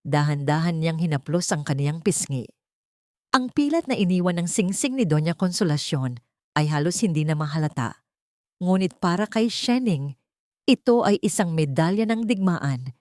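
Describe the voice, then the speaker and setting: A woman narrates a dramatic audio story in a measured, solemn way. Her voice is a little low, and the recording is close and dry. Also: expressive, darkish tone, no room sound.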